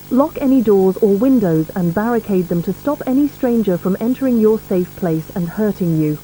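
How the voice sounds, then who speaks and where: A woman's voice: medium pitch, dark tone, low-quality recording. A woman reading a scripted emergency broadcast for children in a formal, careful manner. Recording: faint hum and background noise.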